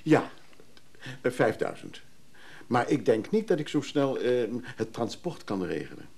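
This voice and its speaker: A smooth, eager man in a radio play, come to buy. His soft, dark tone moves animatedly, courteous yet evasive, often stumbling and hesitating. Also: mid-range voice, big pitch swings, a little slow, full character voice. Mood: hesitant, evasive. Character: polite, evasive male buyer, smooth and eager. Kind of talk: naturalistic radio drama acting.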